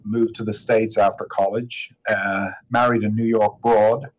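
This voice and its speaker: A man's voice: fairly low pitch, level tone, unhurried, poor audio. A man in his fifties, a guest answering interview questions in an easygoing, hesitant way with many fillers. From Ireland.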